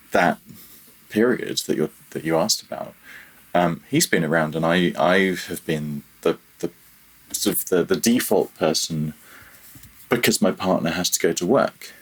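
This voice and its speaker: A man's voice: low-pitched, breathy edge. A man in his thirties talking casually and candidly as an interview guest, often correcting himself mid-sentence. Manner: halting, self-correcting, candid, chuckles at himself. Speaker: podcast guest, freelance audio developer.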